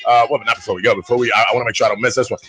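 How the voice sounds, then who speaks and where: A man from Puerto Rico hosts a casual live show in a bright, forward voice at an ordinary male pitch. He talks informally and directly to his listeners, as if to brothers. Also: fairly even intonation, very fast, soft background music. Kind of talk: casual live-stream chat.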